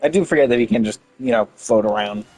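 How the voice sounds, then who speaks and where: A man's voice: mid-range voice, quick pace, dark tone. A man giving casual game playthrough commentary as a streamer, musing and thinking aloud.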